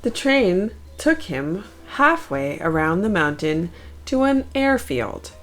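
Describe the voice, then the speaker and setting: A woman narrating a children's picture book aloud in an expressive storytelling style. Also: mid-range voice, slow, faint music behind. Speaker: storybook narrator, channel host.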